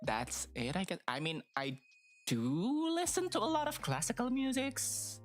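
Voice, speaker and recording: A woman's voice: medium pitch, lively intonation, measured pace, faint music behind. A woman streamer with a stuffy nose chatting casually and rambling, with lots of fillers and hesitations. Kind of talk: casual live-stream chat.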